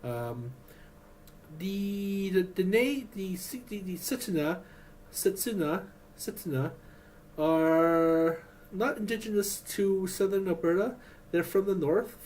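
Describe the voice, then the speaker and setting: A man vlogging in a rambling, halting way, with many restarts and fillers. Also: high voice, a little mellow, a little hiss or hum. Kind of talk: rambling personal vlog.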